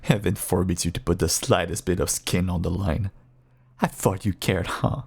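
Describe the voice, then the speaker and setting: A man playing a caged, infected vampire who pleads with his partner in an intimate audio roleplay, husky and coaxing with a sardonic, bitter edge. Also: sits low, exaggerated voice. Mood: taunting, sardonic. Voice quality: husky, gravelly, breathy at times. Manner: slow, coaxing, with sardonic edges.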